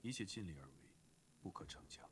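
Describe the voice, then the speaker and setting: A man playing a grave, dignified young nobleman in an animated fantasy drama, speaking in formal, measured, terse phrases. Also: sits low, slight background noise. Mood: grave, calm.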